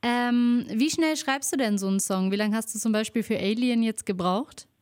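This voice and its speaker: A woman's voice: fairly high pitch, moderate pace, a little mellow, smooth. A woman interviewing a musician in casual German, friendly and laughing often.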